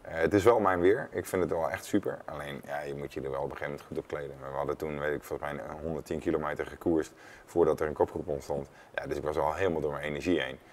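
Very deep-voiced man, halting talker. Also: fairly even intonation, a little hiss or hum. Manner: halting, repeats words. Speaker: former professional cyclist, studio guest. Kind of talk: casual studio interview. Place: indoor studio. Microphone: lapel mic.

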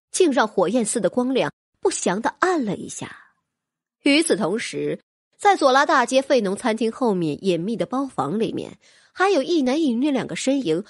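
A woman narrates a fantasy audiobook in a scripted, dramatic style. Her voice is fairly high and breathy-husky, with animated intonation. The sound is dry and close. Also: dry recording.

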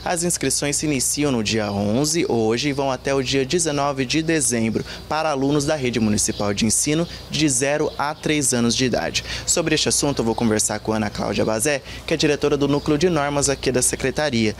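A man's voice: medium pitch, a little fast, hardly any pauses. A Brazilian man in his thirties reporting from outdoors and asking interview questions. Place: outdoors in a leafy yard. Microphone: handheld reporter microphone. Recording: faint outdoor background noise.

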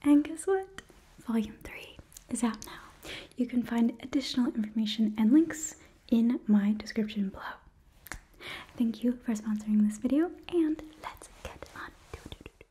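A woman's voice: a little high, normal speed, warm tone, slightly rough. A young woman reading scripted material in an ASMR whisper, close to the microphone. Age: young adult. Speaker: ASMR creator reading a sponsor message.

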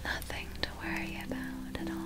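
A woman playing an ancient, possessive, doting vampire in an ASMR roleplay, speaking in a breathy whisper with a deliberate, soothing manner. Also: natural voice. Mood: possessive, reassuring. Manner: slow, deliberate, soothing, intimate, controlling.

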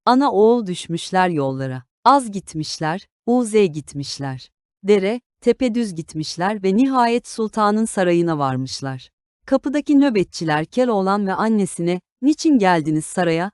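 A woman reading a scripted fairy tale for children as an expressive storyteller. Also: medium pitch, measured pace, smooth, dry recording, clean studio sound.

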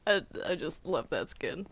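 A gamer chatting casually during a video game roleplay, excitable and stammering, often repeating words. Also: mid-range voice, husky. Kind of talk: casual gameplay chat during Minecraft roleplay.

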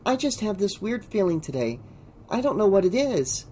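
A narrator voicing a worried, tender teenage girl in an audiobook, soft and pleading in manner. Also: mid-range voice, very animated, moderate pace, slight background noise, pitched above the performer's own voice, lightly acted. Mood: uneasy, curious. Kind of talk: audiobook character dialogue.

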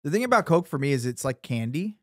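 A man co-hosting a podcast, joking in lively, casual banter. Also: on the high side, fast, dry recording, studio quality. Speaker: podcast co-host. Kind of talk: casual chat.

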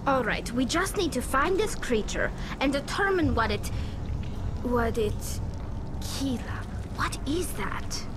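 High-voiced squad soldier, loud music. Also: female voice, big pitch swings, heavily acted. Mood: wary. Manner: brisk, military. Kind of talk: video game combat dialogue.

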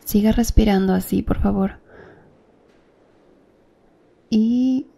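A woman acting as a calm, attentive female doctor in an ASMR roleplay, speaking softly and close to the listener. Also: mid-range voice, slow pace, gravelly, slightly rough, heavily acted. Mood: gentle. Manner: soft, close-up ASMR speech, gently addressing the listener.

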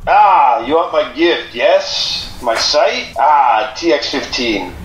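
An actor playing a fawning, theatrical con-artist seer in an audio drama, speaking in a mysterious manner. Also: male voice, a little high, expressive, a bit bright. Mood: greedy fascination. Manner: theatrical, mysterious, drawn-out speech. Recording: roomy with faint background noise.

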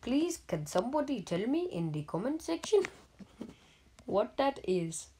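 A gaming YouTuber with an Indian accent, giving deadpan, halting comedic commentary over mobile gameplay. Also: female voice, on the high side, a little hiss or hum. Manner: deadpan, halting, joking persona.

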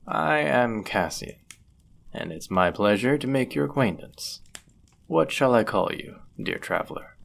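A man's voice: medium pitch, expressive, measured pace, creaky. A man playing a flirtatious, courteous medieval fantasy innkeeper in a roleplay audio drama, with formal, ornate, teasing phrasing. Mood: gracious, attentive. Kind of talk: scripted roleplay audio drama, addressing the listener.

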